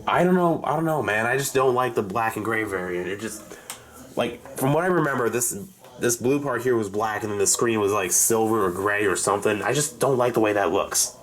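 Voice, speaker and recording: Low-voiced man rambling in an echoey room. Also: fairly bright tone. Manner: halting, rambling delivery with self-corrections. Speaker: hobbyist video host reviewing his radio collection. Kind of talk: unscripted casual show-and-tell commentary. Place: indoors in rooms at home. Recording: echoey room with faint background hiss.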